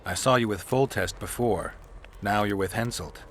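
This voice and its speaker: An actor playing a stoic male monster hunter in a video game, terse and deadpan with dry, laconic delivery. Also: fairly low pitch, normal speed, a little hiss or hum, close to an everyday voice. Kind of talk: video game dialogue acting. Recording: music behind the voice.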